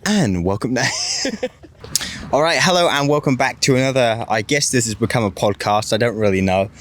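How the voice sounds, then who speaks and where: A man's voice: medium pitch, animated, normal speed, a bit bright, a little hiss or hum. A young man from the United Kingdom, hosting a casual podcast chat in an easygoing, rambling way. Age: young adult. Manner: easygoing, rambling, laughs often. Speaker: podcast host.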